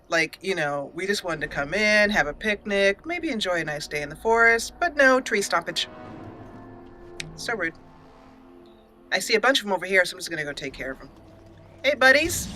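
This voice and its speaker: A woman with a bright, forceful voice gives casual video game commentary as she plays. She is chatty and playful, given to mock indignation and silly mild swears, with game music running behind her. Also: mid-range voice, sing-song, normal speed, long pauses. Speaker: gaming channel host. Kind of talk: casual let's play commentary.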